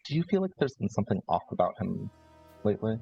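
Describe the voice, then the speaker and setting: Man playing a deep, gravelly, calculating brother. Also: very animated, dark tone, heavily acted. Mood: cautious, pragmatic. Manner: measured, deliberate, halting phrases. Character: calculating adult brother from a ruthless 1950s family. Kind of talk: improvised in-character tabletop roleplay. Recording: slightly dull audio with music. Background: background music.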